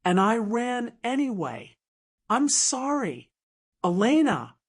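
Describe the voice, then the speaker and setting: Woman acting a regretful, slow-spoken heartbroken woman. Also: fairly high pitch, very animated, full character voice. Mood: tender, apologetic. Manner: earnest, halting, emotional confession. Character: wounded, regretful woman confessing her fears to a lost love.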